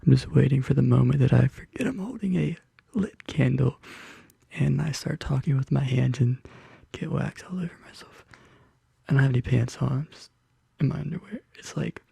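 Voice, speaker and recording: Whispery, very dark-toned ASMR bedtime reader. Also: mid-range voice, moderate pace, gravelly, breathy edge, dry recording. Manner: intimate, whispering and soft murmuring. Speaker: ASMR video creator and host. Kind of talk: soft-spoken ASMR bedtime story. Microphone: close studio condenser microphone.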